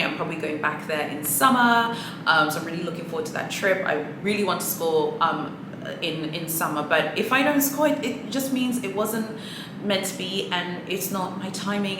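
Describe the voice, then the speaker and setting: A woman with a fairly low voice talks casually and earnestly to camera, like a motivational pep talk for her viewers. She restarts her phrases and laughs softly now and then. The room is echoey, and a steady background noise sits under her voice. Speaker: luxury handbag vlogger.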